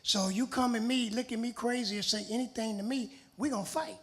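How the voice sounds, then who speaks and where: Raspy-voiced man from Los Angeles. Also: very high voice, fairly even intonation, brisk, fairly bright tone. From Los Angeles, USA. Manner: plain-spoken, streetwise storytelling. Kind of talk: personal testimony.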